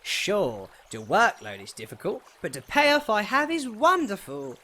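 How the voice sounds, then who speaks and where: A woman's voice: medium pitch, very animated, unhurried, a bit bright. A woman voicing a humble, deferential old male gnome fisherman with a lilt in an audio drama. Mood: earnest. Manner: fussy, deferential, says "madam" often.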